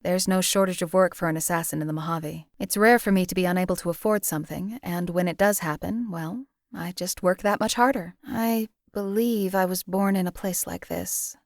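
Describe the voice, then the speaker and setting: A woman voicing a cold, emotionless wasteland assassin for a video game, with a detached, deadpan, formal and articulate delivery. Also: on the low side, normal speed, few pauses, dry recording, studio quality, natural voice. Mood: dismissive, reflective. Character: cold, emotionless female assassin for hire in a post-apocalyptic wasteland. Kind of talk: scripted video game character dialogue.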